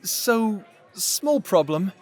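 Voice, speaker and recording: A man's voice: high-pitched, very animated, very slow, darkish tone, exaggerated voice. A man playing an earnest, nervous young adventurer in a fantasy audio roleplay, with a hesitant, eager-to-please manner. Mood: sheepish.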